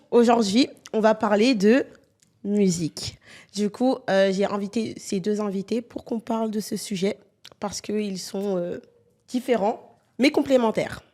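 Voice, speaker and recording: A twenty-one-year-old woman hosts a relaxed podcast chat with two guests. She talks loosely and playfully, teasing and laughing as she goes. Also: mid-range voice, measured pace. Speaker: podcast host. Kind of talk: casual chat.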